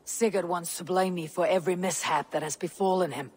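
A woman's voice: on the low side, fairly even intonation, brisk, a little mellow, husky. An actress playing a loyal, stoic Viking warrior woman, restrained and earnest, in a dramatic video game. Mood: frustrated.

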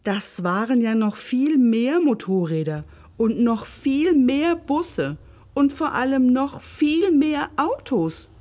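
A woman's voice: high voice, big pitch swings, slow, dark tone. A woman hosting and reading a scripted children's story expressively, speaking directly to her listeners. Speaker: storyteller and host.

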